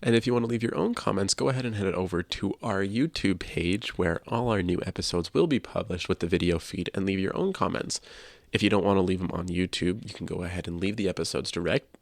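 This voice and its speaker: A male podcast co-host speaks in a low voice with plenty of vocal fry. The sound is dry, and he talks casually but earnestly, leaning on words for emphasis. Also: animated, brisk, dry recording.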